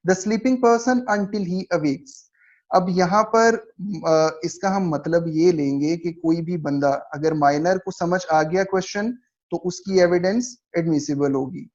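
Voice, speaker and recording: A man's voice: high voice, darkish tone. A man with a Pakistani accent lecturing on law in a didactic way, reading points out and explaining them. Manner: didactic, slows down markedly for read-out passages. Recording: dull lo-fi recording.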